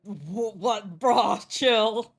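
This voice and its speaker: Slow-paced woman gamer, casually reacting. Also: mid-range voice, gravelly, a little hiss or hum. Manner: informal, sweary, reactive. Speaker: gamer reacting to a story quest. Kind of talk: casual playthrough commentary. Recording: game music behind voice.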